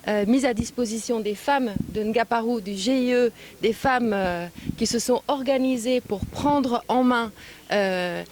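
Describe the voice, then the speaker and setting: A woman speaking as an official at a public event, phrasing deliberately with frequent hesitant pauses, recorded on location. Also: on the high side, a little mellow, slight background noise. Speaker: European Union official giving a speech and interview. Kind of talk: public speech and press remarks.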